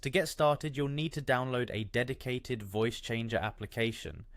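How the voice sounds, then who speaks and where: A man's voice: medium pitch, dry recording, studio quality. A man reading a scripted how-to tutorial in a neatly phrased, instructional way. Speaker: tutorial narrator.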